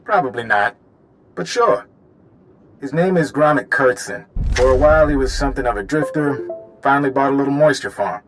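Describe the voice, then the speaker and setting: Actor as easygoing male field agent, medium pitch, wry, informal, video game dialogue. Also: animated, normal speed, soft background music, lightly acted, rough recording. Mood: frank.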